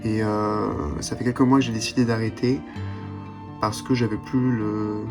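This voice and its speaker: A man's voice: lowish voice, flat delivery, a little slow. A man in his late twenties giving a candid, hesitant personal vlog monologue, searching for his words as he reflects. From Switzerland or France. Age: late 20s. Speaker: vlogger. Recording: background music.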